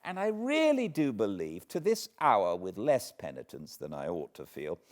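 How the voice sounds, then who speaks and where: A man, reading a classic novel aloud in measured, expressive storytelling. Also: fairly low pitch. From Britain. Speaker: audiobook narrator. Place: cozy home spot beside a fireplace.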